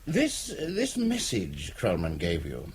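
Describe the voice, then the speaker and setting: Man acting an interrogator with wildly swinging pitch. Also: wildly swooping pitch, takes it slowly, slight background noise, exaggerated voice. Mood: probing. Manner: probing, insistent. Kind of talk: radio spy drama acting.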